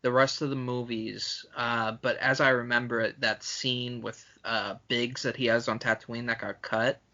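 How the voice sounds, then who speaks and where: A man with a bright, forceful voice edged with gravelly fry co-hosts a casual film podcast. He argues his points out loud. The audio is a little dull and lo-fi. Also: medium pitch, little pitch movement, normal speed. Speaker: podcast co-host. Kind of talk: casual movie discussion.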